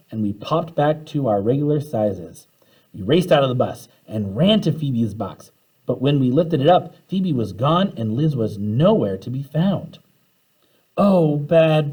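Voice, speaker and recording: A man reading a children's storybook aloud in an animated way, acting out the dialogue as he goes. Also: medium pitch, husky, low-quality recording. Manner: animated, acts out the characters' dialogue.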